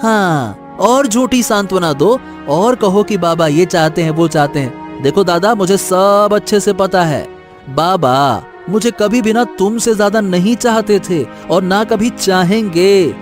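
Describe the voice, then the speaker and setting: A man's voice: sits high, a little slow, soft background music. A man playing a sulky, resentful younger brother who feels unloved, in a moral story.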